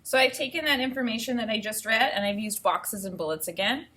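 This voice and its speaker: A woman teacher reading aloud to children and thinking out loud, explaining things step by step in an instructive way. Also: fairly high pitch, a little fast. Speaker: elementary school teacher.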